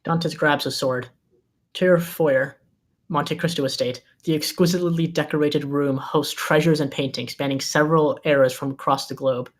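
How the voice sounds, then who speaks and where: A man's voice: a little high, steady tone, moderate pace. A man reading scripted screenplay directions as the narrator of a table read.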